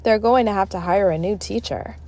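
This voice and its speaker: A woman's voice: mid-range voice, fairly quick, a little mellow, lightly acted. A woman voicing a fretful, prim little schoolgirl in a children's storybook, whiny and anxious. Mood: worried.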